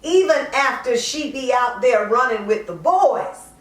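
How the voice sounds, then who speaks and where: A woman performing a dramatic monologue poem as a troubled, self-justifying mother, colloquial, drawn-out and confessional. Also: fairly high pitch, lively intonation. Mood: pleading, self-justifying. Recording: echoey room, distant mic.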